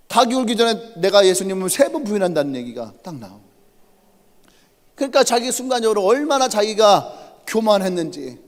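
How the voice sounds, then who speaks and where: A male pastor with a Chungcheong accent preaching a lively, humorous sermon and putting questions to the congregation. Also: high voice. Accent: Chungcheong Korean. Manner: animated, humorous storytelling, asks the congregation questions. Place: church sanctuary. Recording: reverberant church sanctuary.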